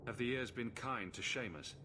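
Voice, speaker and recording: A man's voice: medium pitch, normal speed, background noise, natural voice. An actor playing a wry, easygoing male adventurer in a fantasy video game, with a dry, quippy, casual delivery. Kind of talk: video game character acting.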